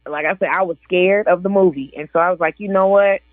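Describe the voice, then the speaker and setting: A woman's voice: fairly low pitch, fairly even intonation, quick pace, no room sound. A woman in her forties, an author and guest on a casual radio interview, chatty and laughing often as she quotes other people. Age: 40s. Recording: dry tinny phone line.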